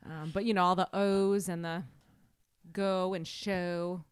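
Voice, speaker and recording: A woman's voice: on the low side, little pitch movement, takes it slowly, clear, resonant tone, no room sound, studio quality. A woman with a Wisconsin accent hosting a podcast in a casual, chatty style. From Wisconsin, United States. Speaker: podcast host. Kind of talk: casual chat.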